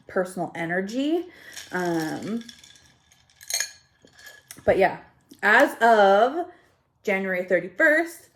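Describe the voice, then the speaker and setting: Slow-paced congested woman, rambling vlogger. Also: mid-range voice. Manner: self-deprecating, scattered, conversational asides. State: slightly congested. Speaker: YouTube vlogger. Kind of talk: casual rambling chat to camera. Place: home kitchen, indoors.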